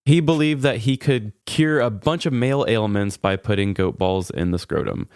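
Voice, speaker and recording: A man's voice: lowish voice, normal speed, a little mellow, clear, resonant tone, no echo, clean studio sound. A man co-hosting a podcast, telling funny stories in a casual, conversational way. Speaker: podcast co-host. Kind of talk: casual comedic storytelling chat.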